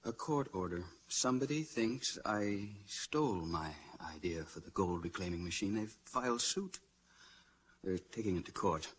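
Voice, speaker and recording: An actor playing an earnest, excitable aviation inventor in 1940s radio drama, halting and repeating words when worked up. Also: male voice, lowish voice, slightly rough. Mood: discouraged. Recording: noisy 1940s radio broadcast recording.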